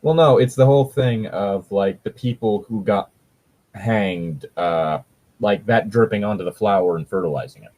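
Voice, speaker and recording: A man's voice: fairly low pitch, normal speed, a little mellow. A man casually reading and critiquing a story as a podcast host, rambling, sweary and critical. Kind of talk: casual commentary with read-aloud passages.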